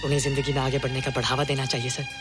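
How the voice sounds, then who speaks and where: An actor playing a gentle, earnest, childlike young male student in Hindi film dialogue. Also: mid-range voice, flat delivery, racing, over loud music, natural voice.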